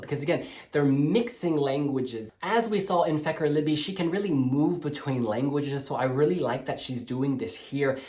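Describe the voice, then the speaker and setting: A man hosting a music blog video, gushing and sassy as he casually reviews song demos. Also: fairly high pitch. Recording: roomy echoey room.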